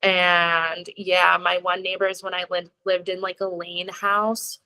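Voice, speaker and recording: A woman's voice: fairly low pitch, little pitch movement, takes it slowly, bright, forceful tone, a little hiss or hum, rough recording. A young woman from the United States, a guest in a casual video-call conversation, chatting freely with plenty of "like" fillers and laughing as she talks. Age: young adult. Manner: chatty, lots of "like" fillers, laughs while talking. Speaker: interview guest.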